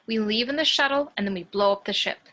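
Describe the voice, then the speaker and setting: A woman's voice: a little high, quick pace, sharp tone. A woman playing a resolute, practical spaceship warrant officer in an amateur sci-fi table read.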